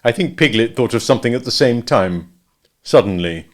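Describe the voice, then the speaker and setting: A man's voice: medium pitch, animated, moderate pace, close to an everyday voice. A man as a placid, simple storybook bear. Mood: innocent, vague. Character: mild, slow-witted, placid male toy bear. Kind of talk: children's storybook character voice.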